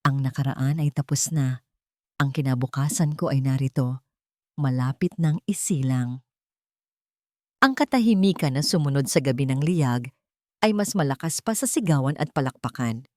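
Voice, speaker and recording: A woman's voice: rumbling low, animated, normal speed, long pauses, warm tone, dry recording, clean studio sound. A woman reading a dramatic first-person story as an audiobook narrator.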